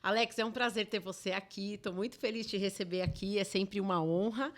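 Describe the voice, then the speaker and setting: Apresentadora brasileira de podcast, afirmativa. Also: female voice, medium pitch, fairly even intonation, a little fast. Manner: warm, affirming listener with frequent backchannels. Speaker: podcast host, therapist. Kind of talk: casual interview hosting.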